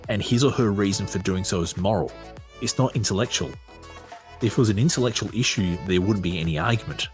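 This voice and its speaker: A man with an Australian accent and a husky voice, hosting a podcast, reading aloud and commenting off the cuff in a conversational way. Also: low voice. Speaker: podcast host.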